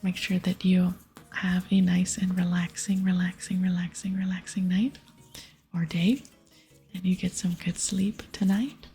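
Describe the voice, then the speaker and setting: A woman hosting an ASMR game playthrough, softly spoken and often whispering in a breathy, soothing, unhurried way. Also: fairly low pitch, fairly even intonation, faint music behind. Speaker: host of a gaming video series.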